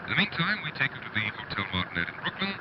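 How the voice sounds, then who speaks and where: A man's voice: medium pitch, big pitch swings, very fast, lightly acted. An actor playing a formal, urgent male radio announcer reading 1930s bulletins. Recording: noisy lo-fi old radio broadcast.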